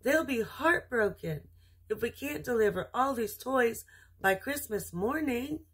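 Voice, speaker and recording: A woman's voice: a little high, lively intonation, a little slow, fairly bright tone, husky, voice pushed up, full character voice. A woman voicing a weary, dutiful sleigh horse in a storybook reading, apologetic and worried.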